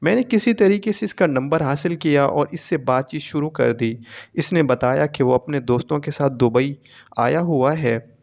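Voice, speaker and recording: Man, slightly high pitch, scripted story narration. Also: normal speed, creaky, a little mellow. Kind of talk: scripted narration of an emotional first-person story.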